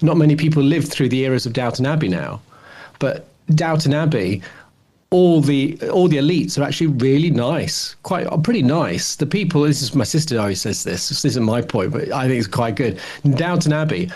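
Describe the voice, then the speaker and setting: British male, middle-aged, podcast co-host, loose rambling conversational delivery with mid pitch. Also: animated, normal speed, darkish tone. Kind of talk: casual chat about television.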